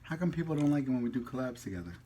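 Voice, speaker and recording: A man's voice: mid-range voice, animated, very fast, warm tone, a little hiss or hum. A man hosting a casual mukbang vlog, chatting in a jokey, slangy, playful way while eating.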